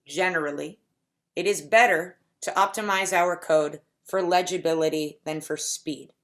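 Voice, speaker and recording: A woman giving a conference talk in a deliberate, explanatory way, slowing down to stretch key words. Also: on the low side, fairly even intonation. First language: English. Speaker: conference speaker. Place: home setup for a virtual conference.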